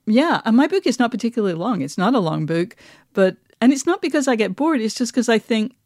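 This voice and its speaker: A woman's voice: a little high, big pitch swings, brisk, a little mellow, dry recording, studio quality. A woman co-hosting a podcast, speaking casually and reflectively in a candid, self-deprecating way. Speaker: podcast co-host and writer.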